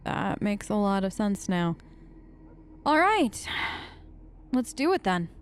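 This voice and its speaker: A woman's voice: medium pitch, sing-song, slow, smooth. A woman hosting a role-play let's play, chatting casually with game characters, sometimes exasperated. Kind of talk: role-play gaming commentary.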